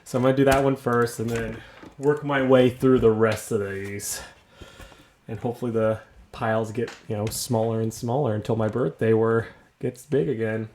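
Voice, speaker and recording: A man with a gravelly voice chatting casually and rambling in a self-mocking way while unboxing a toy at home. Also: medium pitch, steady tone, moderate pace, darkish tone, slight background noise. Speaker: vlogger unboxing toys.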